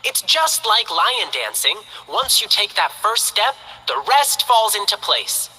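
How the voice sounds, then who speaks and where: A woman's voice: mid-range voice, big pitch swings, measured pace, pushed and bright, slightly rough, big-room sound, heavily acted, poor audio. An actress playing a cheerful, playful young female lion dancer in a game, upbeat and chatty.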